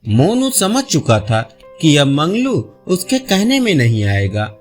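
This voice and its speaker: A man's voice: on the high side, wildly swooping pitch, unhurried, soft background music. A man narrating a scripted children's story as a storyteller.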